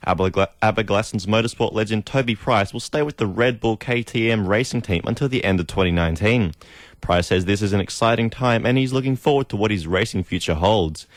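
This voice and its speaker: A man's voice: fairly low pitch, brisk, no room sound, studio quality. A man with an Australian accent reading a scripted sports bulletin on the radio. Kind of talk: scripted sports news narration.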